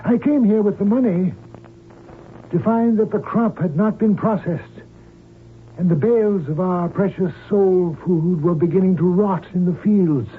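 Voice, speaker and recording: An actor playing a fanatical, pompous cult preacher and hijacker in an old-time radio drama, speaking in pious scriptural phrases. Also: male voice, sits high, a little slow. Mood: pious, fervent. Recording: tinny, band-limited old radio recording, hissy.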